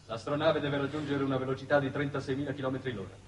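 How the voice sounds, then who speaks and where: A man's voice: mid-range voice, fairly even intonation, very fast, lightly acted. An actor in his thirties playing a formal, deferential ship's doctor in a 1960s television drama, with courteous, precise diction. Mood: clipped, businesslike. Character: formal, deferential male doctor aboard a spaceship. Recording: noisy old 1960s television soundtrack.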